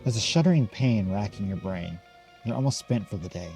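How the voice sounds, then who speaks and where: A man playing a game on a recording, reading its text aloud and thinking out loud with some hesitation. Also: fairly low pitch, lively intonation, brisk, soft background music. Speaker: gamer reading and reacting to a visual novel.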